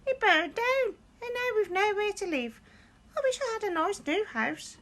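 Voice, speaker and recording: A woman voicing a tiny mother mouse in a children's storybook, plaintive and squeaky. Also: expressive, normal speed, a bit bright.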